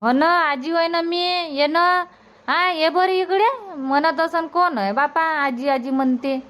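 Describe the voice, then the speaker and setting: A woman's voice: sits high, a little slow, fairly bright tone. A woman voicing a reassuring grandmother in a village family cartoon, with a colloquial Vidarbha Marathi accent. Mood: coaxing, reassuring. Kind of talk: cartoon comedy dubbing in rural dialect.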